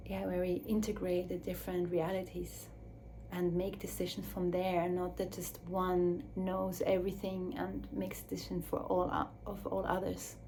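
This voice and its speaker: A woman speaking English as a second language in a slow, searching reflective talk, with restarts and soft drops to a near whisper. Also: lowish voice, completely flat delivery, slight background noise. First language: Swiss German or Dutch. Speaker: speaker on her own channel.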